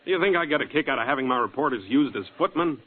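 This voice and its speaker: An actor playing a harried, persuasive newspaper boss in a 1940s style radio drama, speaking with dry, wry insistence. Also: male voice, medium pitch, lively intonation, racing, close to an everyday voice. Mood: apologetic, pleading. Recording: narrow-band old radio recording.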